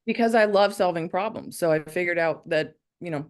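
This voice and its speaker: A woman on a conference panel, introducing herself briskly and with enthusiasm. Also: on the low side. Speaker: panelist, fractional CPO. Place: conference stage.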